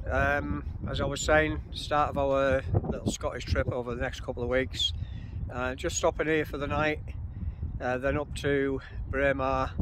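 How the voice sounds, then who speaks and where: An older man chatting casually to his phone camera while walking along a campsite road outdoors, with relaxed, rambling delivery full of uh and um. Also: medium pitch. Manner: relaxed, chatty, lots of uh and um fillers. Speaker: caravanning vlogger. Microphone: phone selfie camera at arm's length while walking. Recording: loud wind and outdoor noise on phone mic. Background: wind and rural outdoor ambience.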